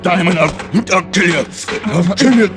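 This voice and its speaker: A high, strained murderous gangster. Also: male voice, a little slow, over music. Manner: strained, threatening. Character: murderous male gangster, desperate and threatening. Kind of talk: old-time radio crime acting.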